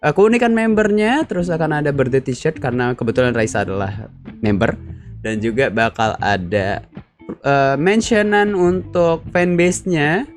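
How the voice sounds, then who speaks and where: A man's voice: a little high, moderate pace, faint music behind. A young man hosting a casual fan-commentary video, chatty and slangy, full of fillers and tag questions. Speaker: YouTube channel host.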